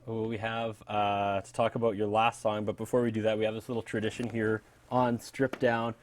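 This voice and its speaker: A man's voice: lowish voice, flat delivery, brisk. A man hosting a music show, interviewing a guest in an informal, chatty way. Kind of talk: casual on-camera music interview.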